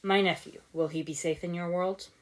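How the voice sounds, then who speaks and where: A woman voicing a stern, calm old male martial arts master with dry, formal delivery in a story reading. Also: lowish voice, moderate pace, lightly acted. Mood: contemplative, calm.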